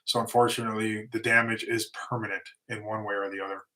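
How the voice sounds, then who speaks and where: A man's voice: lowish voice, little pitch movement, quick pace, bright, forceful tone, low-quality recording. Laid-back man hosting a livestream. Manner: easygoing, rambling with restarts and fillers. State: voice getting tired and going. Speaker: livestream host, lawn and concrete business owner. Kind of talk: casual livestream Q&A, reading chat questions.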